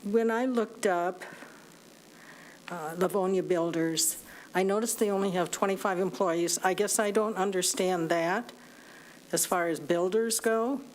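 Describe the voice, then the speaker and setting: A woman with a soft voice speaking at a podium microphone during public comment, halting and full of fillers. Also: fairly low pitch, low-quality recording. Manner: hesitant, halting with many fillers. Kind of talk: public comment at a city meeting. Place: city meeting chamber.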